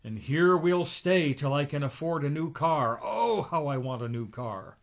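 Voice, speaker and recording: A man voicing an excitable, grumbling middle-aged cartoon duck uncle from a comic book, in an exclamatory way. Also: a little high, measured pace. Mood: exasperated. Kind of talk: comic book read aloud.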